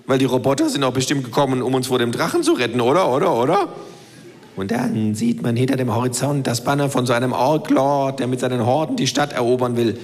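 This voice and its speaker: A man's voice: medium pitch, animated, natural voice. A man doing a sarcastic ranting kangaroo. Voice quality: sarcastic, fast-talking ranter. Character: cheeky, ranting male kangaroo. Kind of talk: reading aloud. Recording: long hall reverb.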